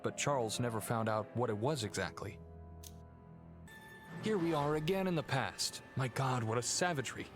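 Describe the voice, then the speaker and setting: Man playing a earnest young gentleman, average-pitched, troubled, reflective, formal diction, game cutscene, prominent background music. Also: expressive, moderate pace, close to an everyday voice. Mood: troubled wonder. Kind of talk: video game cutscene voice acting.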